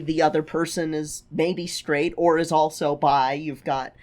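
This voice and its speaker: A podcast co-host chatting casually about a film, halting and often circling back to rephrase mid-thought. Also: medium pitch. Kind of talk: casual chat, film discussion.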